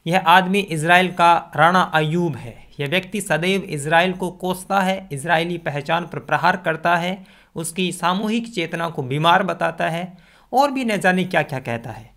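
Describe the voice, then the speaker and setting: A man in his twenties with a slightly high voice gives scripted opinion commentary. He speaks emphatically and argues his points, close to a desk microphone in a small indoor setup. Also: a bit bright. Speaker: video commentator. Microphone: desk condenser microphone, close.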